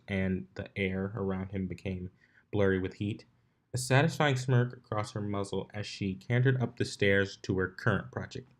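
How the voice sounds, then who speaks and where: A man reading fan fiction aloud as narrator, in an amateur style with occasional stumbles over the words. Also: on the low side. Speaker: fanfiction narrator.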